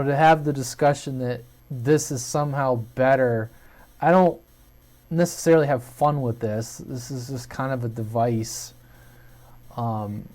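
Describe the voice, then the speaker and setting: Guy doing an unscripted channel update, mid pitched voice, kinda rambly and informal. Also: a little mellow. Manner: informal, rambling, thinking aloud. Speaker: hobby radio video channel host. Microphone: USB webcam at a desk.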